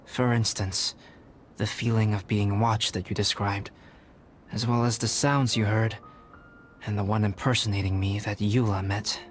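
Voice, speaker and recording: A man's voice: fairly low pitch, little pitch movement, soft background music, lightly acted. A man playing a composed, aloof young alchemist in a video game, speaking formally and thoughtfully. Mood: calm, reflective. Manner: measured, formal, scholarly. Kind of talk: dramatic video game voice acting.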